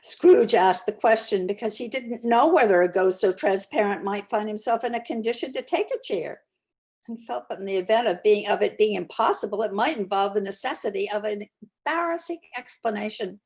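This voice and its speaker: A woman reading classic literature aloud as narrator, with expressive, deliberate dramatic storytelling. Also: medium pitch. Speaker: narrator, community reader.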